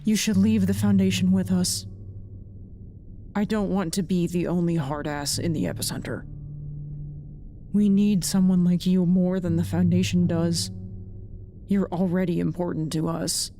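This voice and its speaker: A woman acting in an audio drama as a blunt, guarded, quietly caring colleague, speaking plainly and earnestly. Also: on the low side, steady tone, measured pace, pauses often, soft background music. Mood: earnest, urging.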